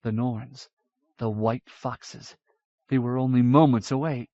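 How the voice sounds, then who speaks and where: A male audiobook narrator at a mid pitch, reading with measured, even pacing. The recording is dry and slightly dull, as if from an older studio master. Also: dry recording. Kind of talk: scripted fantasy narration.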